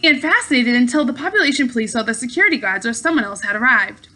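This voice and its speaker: Female read-aloud narrator: lively, swooping delivery, bright tone, small unpolished stumbles. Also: fairly high pitch, fast talker. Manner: animated, with occasional stumbles and rereads. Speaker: teacher reading aloud to pupils. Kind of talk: expressive storybook narration.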